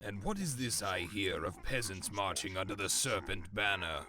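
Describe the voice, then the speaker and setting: An actor playing a cruel, commanding warlord villain in a video game, speaking with deliberate, imperious menace. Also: male voice, fairly low pitch, expressive. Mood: cool menace. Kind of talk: video game cutscene acting. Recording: loud rain and background noise.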